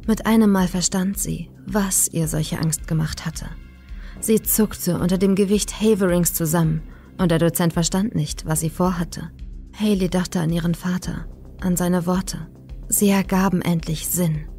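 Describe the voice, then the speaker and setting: A woman reading a horror story as a scripted, dramatic narration, measured and suspenseful. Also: fairly low pitch, fairly even intonation, dark tone. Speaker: audiobook narrator. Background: faint atmospheric music.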